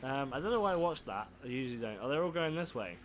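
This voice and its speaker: Croaky-voiced man commentating gameplay. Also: mid-range voice, expressive, fast, slight background noise. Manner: self-deprecating, swears casually. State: croaky, sore throat. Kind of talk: casual let's play commentary. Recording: game music playing behind.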